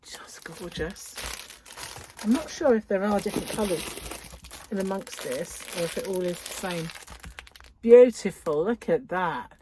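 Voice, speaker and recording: Over clearly audible background noise and crinkling wrappers, a woman chats in a slow, lingering way. She gushes admiringly in a slightly low voice, dropping into breathy asides like a craft vlogger showing off new things. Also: animated, dark tone. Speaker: craft vlogger and yarn shop owner. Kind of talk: casual unboxing chat to followers. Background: crinkling cellophane, clicks and rustling.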